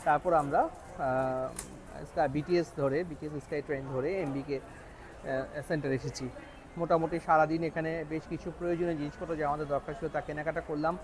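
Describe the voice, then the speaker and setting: Guy vlogging on location, voice a bit high and raspy, recapping his travels. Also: fairly even intonation, moderate pace, pauses often. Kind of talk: spoken vlog update on location. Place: on location in Bangkok.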